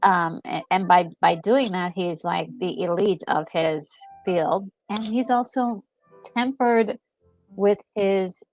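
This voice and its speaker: A woman gaming co-host talking casually and enthusiastically, thinking aloud in a halting way as she reacts to a game. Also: medium pitch, faint music behind, poor audio. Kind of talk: casual reaction chat about a video game.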